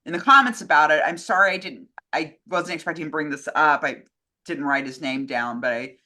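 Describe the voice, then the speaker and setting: A woman's voice: deep voice, a little fast, pushed and bright. A woman co-hosting in a casual chat, speaking in a hesitant, slightly apologetic way. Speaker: co-host relaying audience questions and comments.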